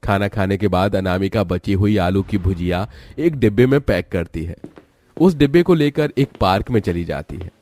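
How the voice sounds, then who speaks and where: A man's voice: low-pitched, moderate pace, a little mellow. A man narrating a scripted story as its storyteller.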